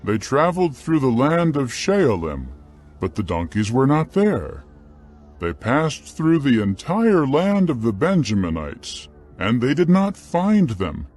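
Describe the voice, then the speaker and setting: A man narrating scripture from a script in a solemn, measured, carefully phrased dramatic style. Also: medium pitch, sing-song, a little mellow.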